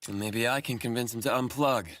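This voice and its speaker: An actor playing a cool, unimpressed musician hero in a video game, speaking in a dry, measured way. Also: male voice, mid-range voice, fairly bright tone, close to an everyday voice.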